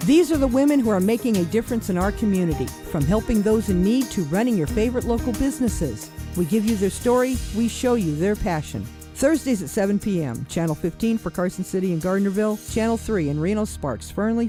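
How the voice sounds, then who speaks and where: Female announcer, animated upbeat promo read over clear music. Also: mid-range voice, fairly quick, few pauses. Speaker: show announcer.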